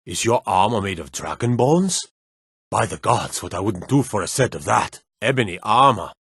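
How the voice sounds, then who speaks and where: An actor playing a blunt, suspicious city guard in a fantasy video game, with folksy, old-fashioned turns of phrase. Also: male voice, fairly low pitch, sing-song, normal speed, fairly bright tone, husky, no room sound, clean studio sound, exaggerated voice. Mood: admiring. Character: gruff, wary male city guard in a fantasy realm. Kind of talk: video game character barks.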